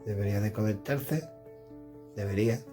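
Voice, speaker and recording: A man's voice: fairly low pitch, moderate pace, warm tone, soft background music. A middle-aged man from chatting casually indoors as he demonstrates radio equipment, thinking aloud while he fiddles with the devices. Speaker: radio gear reviewer.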